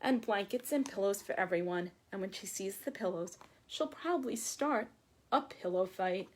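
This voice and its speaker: A woman in her forties, a children's librarian reading aloud to young children with expressive, deliberate phrasing. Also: medium pitch. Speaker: children's librarian hosting storytime. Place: small room at home or office, by window. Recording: clearly noisy home video with clicks.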